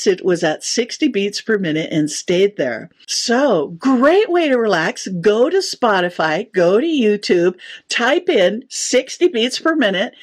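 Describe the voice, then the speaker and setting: Middle-aged woman, husky voice, sound therapist guest, eager explaining, average pitch. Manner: enthusiastic, explanatory, laughs easily. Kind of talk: interview answers.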